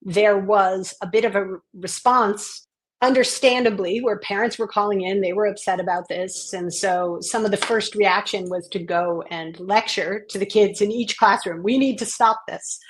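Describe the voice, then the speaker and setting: A woman guest on a podcast interview, from Maine, talking in an earnest, reflective way and growing animated as she tells stories. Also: mid-range voice, normal speed, poor audio. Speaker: guest, education consultant and author.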